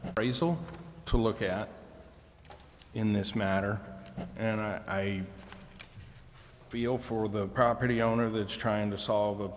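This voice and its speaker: American man, civic meeting remarks, halting and unhurried, lowish voice, faint noise in a big room. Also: fairly even intonation. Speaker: city council member. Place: council chamber. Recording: echoey hall, faint noise.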